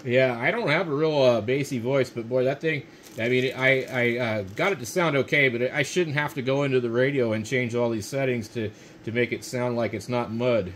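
An American man makes relaxed small talk as a ham radio operator. His voice sits at a middling pitch, recorded indoors at his radio desk with a faint hiss behind it. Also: normal speed. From United States. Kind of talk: casual ham radio chat.

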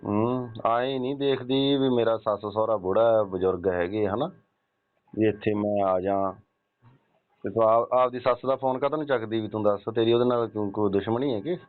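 A man's voice: lowish voice, moderate pace, close to an everyday voice. A man playing a wheedling, insistent elderly father-in-law in a staged phone call, pressing and nagging with grunted question tags. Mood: reproachful, pressing. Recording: tinny narrow-band phone call.

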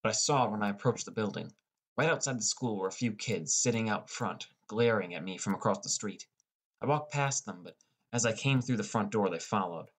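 A young man reading a scripted first-person audiobook as a depressed high school boy. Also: fairly quick, breathy edge. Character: depressed, irritable high school boy telling his story. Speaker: audiobook narrator.

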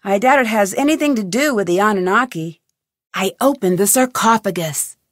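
An actress playing an inquisitive, persistent young woman detective in an adventure game, with clear, earnest, questioning delivery. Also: medium pitch, lively intonation, normal speed, no room sound, clean studio sound, lightly acted. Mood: curious. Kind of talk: scripted adventure game voice lines.

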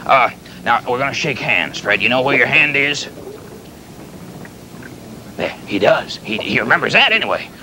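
A man playing a kindly, folksy pirate captain in a children's show, halting and stammering as he rambles and repeats himself. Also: mid-range voice, sing-song, sharp tone, a little hiss or hum, exaggerated voice, low-quality recording. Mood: patiently coaching. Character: folksy, flustered, kindly pirate captain fond of his clumsy pet.

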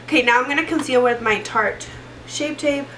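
A young woman with a cold and a fairly high voice chats away while doing her makeup, rambling and circling back with lots of fillers. She sounds a little stuffy. The sound comes from a camera mic a short way off, in a roomy, echoey room. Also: moderate pace, fairly bright tone, distant mic, soft background music. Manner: chatty and rambling, many fillers and restarts. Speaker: beauty vlogger. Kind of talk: casual makeup tutorial chat. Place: small room indoors. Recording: roomy echo, voice a bit off-mic.

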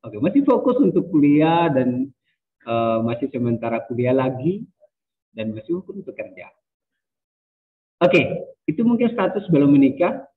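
A man's voice: mid-range voice, sing-song, moderate pace, long pauses. Man lecturing over a tinny video call. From Sumba, Indonesia. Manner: casual, many hesitations and fillers. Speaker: university lecturer. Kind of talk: online lecture. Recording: distant, phone-like video call audio.

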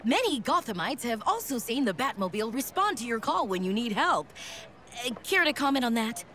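An actress playing an upbeat, inquisitive TV news reporter in a children's cartoon. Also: female voice, a little high, sing-song, a little fast, a bit bright. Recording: music behind the voice.

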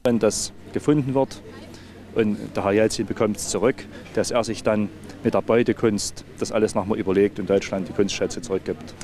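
A man. His voice is on the low side. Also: fairly even intonation, normal speed, darkish tone. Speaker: mayor, interviewee. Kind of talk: interview answers. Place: outdoors.

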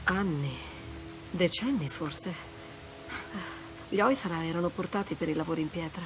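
A woman's voice: fairly low pitch, normal speed, music in the background, lightly acted. An actress playing a wry, adventurous treasure hunter in her thirties, teasing and understated, in naturalistic video game dialogue. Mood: thoughtful.

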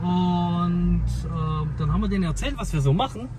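A man in his thirties with a fairly high voice chats to his viewers on a handheld phone camera, eager and talkative. Outdoor and car noise is clearly heard behind him. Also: moderate pace. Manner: chatty, enthusiastic, addresses viewers directly. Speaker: classic car dealer. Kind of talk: casual vlog commentary. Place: outdoors in a junkyard and inside a car.

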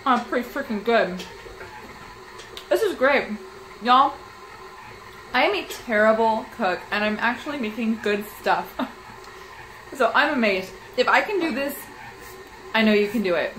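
A woman in her thirties chatting casually as she shows a recipe in her small home kitchen, self-deprecating and quick to laugh, recorded on a phone camera held close. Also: fairly high pitch, animated, fairly bright tone, a little hiss or hum, rough recording. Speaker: cooking vlogger. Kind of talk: casual cooking vlog chat.

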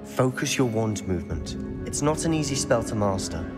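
A man's voice: on the low side, big pitch swings, normal speed, over loud music. A man voicing a determined, loyal teenage wizard schoolboy with a British accent in a video game, earnest and well-spoken. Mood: earnest, persuasive.

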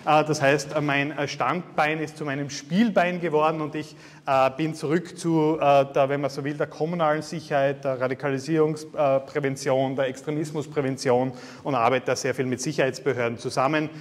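A man's voice: a little high, fairly even intonation, fairly quick. A man in his thirties with a Styrian Austrian accent, giving a university guest lecture in a chatty, self-deprecating way with many filler sounds. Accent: Styrian Austrian German. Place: large lecture hall. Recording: reverberant lecture hall.

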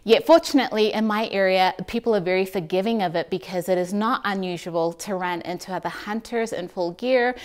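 Woman calmly explaining optics to camera. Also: medium pitch. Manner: clear, measured, explanatory. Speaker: optics reviewer and host. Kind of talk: scripted product comparison to camera. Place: indoors in front of a plain wall.

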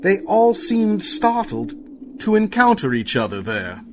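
A man's voice: sits high, huge pitch swings, measured pace. A man narrating a story dramatically from a script. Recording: clear background noise.

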